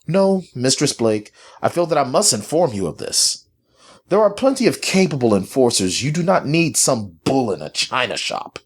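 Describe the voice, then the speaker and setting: A man's voice: medium pitch, big pitch swings, measured pace, voice pushed up. A man voicing a cold, pompous, irritable master who speaks formally and with authority in a story. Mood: scornful.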